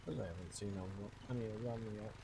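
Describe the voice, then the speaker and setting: A man's voice: fairly low pitch, quick pace, creaky, background noise. A man doing casual live commentary as he streams a game, muttering to himself as he plays.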